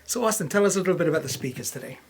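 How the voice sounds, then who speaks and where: A man's voice: fairly high pitch, animated, rapid-fire, a little hiss or hum. A man hosting a casual product review, talking informally as he introduces and asks questions. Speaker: reviewer and host.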